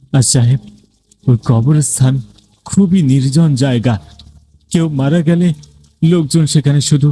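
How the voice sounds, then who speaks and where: Middle-aged male ghost for a cartoon, played by a man: mellow, solemn, deferential, measured and pleading. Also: a little high, lightly acted. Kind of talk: dramatized cartoon ghost story dialogue.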